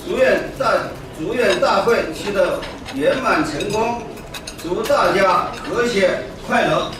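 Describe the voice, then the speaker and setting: High-voiced man giving formal greetings. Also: a little slow. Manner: formal, ceremonial. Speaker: conference speaker. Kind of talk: formal speech. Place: large conference hall. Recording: echoey hall, distant mic, noisy.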